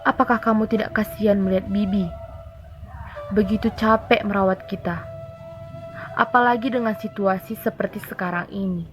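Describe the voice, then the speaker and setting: An earnest, dutiful older brother from a folktale, voiced by a young woman. The manner is respectful and pleading, at a medium pitch, with faint music underneath. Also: steady tone, normal speed, long pauses, natural voice. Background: music behind the voice.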